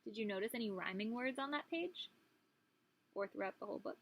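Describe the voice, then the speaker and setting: A woman's voice: mid-range voice, animated, brisk. A woman, a preschool teacher, reading a picture book aloud to young children and prompting them with questions.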